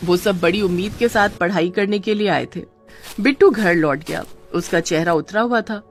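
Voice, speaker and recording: A woman's voice: lowish voice, moderate pace, soft background music. Woman narrating a children's story.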